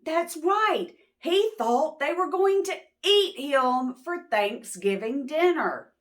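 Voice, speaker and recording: Female picture-book narrator and host: higher voice, unhurried, explains and questions simply for little kids. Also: lively intonation. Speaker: children's storyteller, channel host. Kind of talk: picture-book read-aloud, talking to preschoolers.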